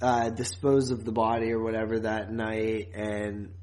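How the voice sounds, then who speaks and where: A man's voice: lowish voice, monotone, unhurried, darkish tone. A man co-hosting a podcast, recapping a TV series in casual, rambling, informal talk. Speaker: podcast co-host.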